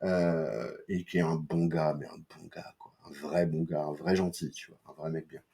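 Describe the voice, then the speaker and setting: A man's voice: extremely low pitch, steady tone, moderate pace, gravelly, warm tone. A man from France giving a casual, rambling comics review, full of fillers, slang and swearing.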